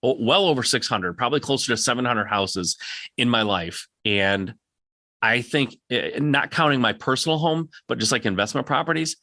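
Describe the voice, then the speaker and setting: A man's voice: mid-range voice, animated, normal speed, bright, forceful tone, dry recording, studio quality. A man hosting a live Q&A, coaching in a direct, plain-spoken way and insistently repeating his points for emphasis. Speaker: podcast host answering audience questions.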